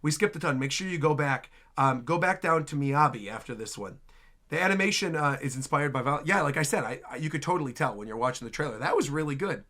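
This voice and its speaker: A man's voice: on the high side, lively intonation, quick pace, sharp tone. A man giving casual reaction commentary as a video host, full of enthusiastic exclamations.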